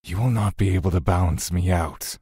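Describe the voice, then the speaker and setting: An actor playing a stern, dutiful god of death in a fantasy audio drama, speaking in a stiff, curt, commanding manner. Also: male voice, deep voice, brisk, dark tone, slightly rough, dry recording, clean studio sound. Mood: stern, grave.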